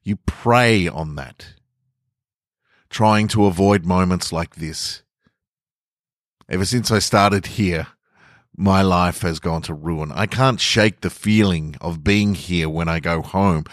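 A man's voice: low-pitched, dry recording, clean studio sound. A man reading a story monologue as an aggrieved, uncomfortable office employee making an earnest, defiant stand. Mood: tense, wounded. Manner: deliberate, emphatic, earnest.

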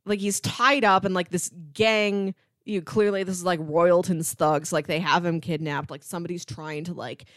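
A woman's voice: fairly low pitch, fairly even intonation, moderate pace, few pauses, dry recording, clean studio sound. A woman co-hosting a podcast, chatting informally about a film and acting out bits of dialogue as she retells scenes. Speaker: podcast co-host. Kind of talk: casual film discussion.

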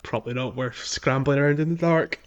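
A man hosting a casual gaming podcast, recapping a playthrough in halting phrases with pauses to think. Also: medium pitch, muffled recording. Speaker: podcast host.

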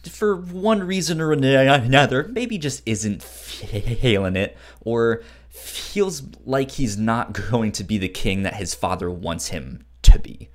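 A podcast co-host in casual conversation. The delivery is unhurried and halting, with sentences that get rephrased along the way, while the pitch swings in an animated way. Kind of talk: casual book discussion chat.

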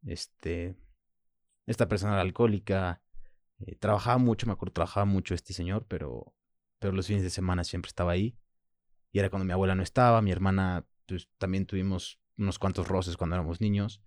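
A man in his thirties with a Mexican Spanish accent, French as his first language, speaking as an interview guest in a reflective, halting way, often pausing with fillers. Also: low voice, steady tone, darkish tone, dry recording. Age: 30s. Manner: reflective, halting, frequent "pues" and "¿sabes?". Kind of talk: personal interview.

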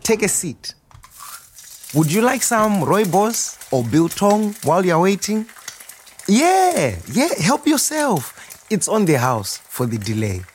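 An actor playing a proud, warm restaurant owner reminiscing in a theatrical storytelling monologue. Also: male voice, high-pitched, slow pace, a little hiss or hum, full character voice. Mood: welcoming, chatty. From Zimbabwe. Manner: animated, chuckling, theatrical storyteller. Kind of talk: dramatised monologue, storytelling to a customer.

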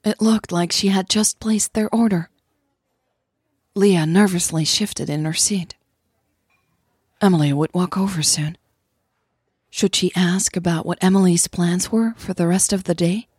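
A woman narrates an audio drama in a husky, slightly breathy voice. The recording is very dry, with no room around her words. She reads as a scripted storyteller. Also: lowish voice, a little slow, long pauses, a little mellow, no echo.

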